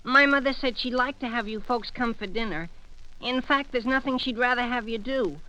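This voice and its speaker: A man's voice: very high-pitched, moderate pace, sharp tone, clear, resonant tone, highs cut off, heavily acted. A man playing a sheepish, put-upon American teenage boy in a 1940s radio comedy. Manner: hedging, plaintive.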